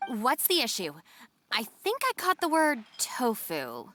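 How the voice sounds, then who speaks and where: A level-headed deputy in a video game. She sounds composed and practical, slightly high and expressive, over faint music. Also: unhurried. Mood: concerned.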